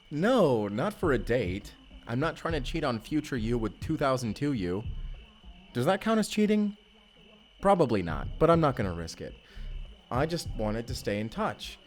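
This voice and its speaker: A man's voice: medium pitch, natural voice. A man playing an earnest, love-struck young husband stranded back in time, speaking tenderly and conversationally in an intimate audio roleplay. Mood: playful, earnest. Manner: conversational, tender, chuckling storyteller.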